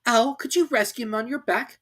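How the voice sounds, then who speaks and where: A storybook reader voicing an affectionate young boy with an earnest, excitable, childlike manner. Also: medium pitch, brisk, breathy edge, voice pushed up. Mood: urgent.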